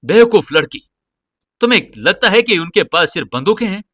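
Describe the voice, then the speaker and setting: A man's voice: sits high, animated, brisk, a bit bright, no echo, voice pushed up. A man playing a cool-headed, condescending young man who scolds in a controlled way, in a dramatized audio story. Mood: sharp, contemptuous.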